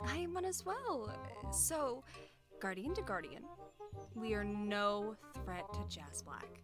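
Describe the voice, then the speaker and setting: A woman playing a steady, diplomatic guardian of her people in an audio roleplay. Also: mid-range voice, slow, music in the background. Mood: calm, reassuring.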